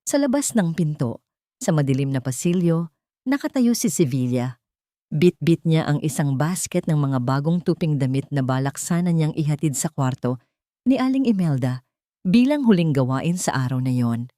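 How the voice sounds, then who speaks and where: Low-toned woman narrating drama, dry sound. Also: sing-song, mellow, no room sound. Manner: measured, solemn storytelling in short phrases. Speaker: story narrator.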